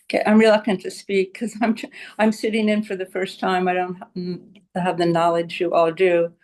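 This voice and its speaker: An American woman of seventy-eight speaks up from the audience at a conference. She is earnest and a little hesitant, and her pitch stays level. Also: level tone, moderate pace, darkish tone. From United States. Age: 70s. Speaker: audience member. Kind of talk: comment from the floor.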